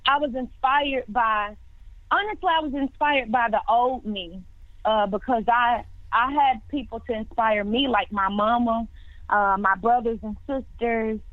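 A woman's voice: a little high, measured pace, no echo. Young woman on a tinny phone line. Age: 20s. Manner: warm, faithful, repeats words and restarts often. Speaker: singer guest. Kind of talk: casual interview answers.

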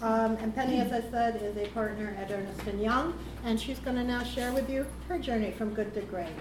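A woman's voice: fairly high pitch, a little fast. A woman chairing a live panel, introducing speakers in an informal way with frequent fillers. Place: event hall with live audience. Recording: echoey hall, background noise.

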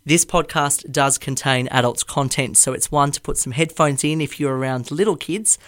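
A man hosting a podcast, reading a scripted intro and then asking gentle, supportive questions. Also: medium pitch, normal speed, dry recording, clean studio sound. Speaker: podcast host.

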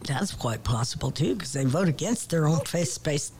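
Lively American woman co-hosting talk radio. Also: sits low, moderate pace, slight background noise. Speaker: radio co-host. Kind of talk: casual talk radio chat.

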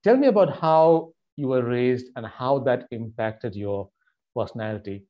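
A man in a middle register hosts an interview podcast. He talks in a measured way, with plenty of ums and uhs. The audio sounds slightly dull and lo-fi. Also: medium pitch, expressive. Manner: measured, with frequent fillers. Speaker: podcast host.

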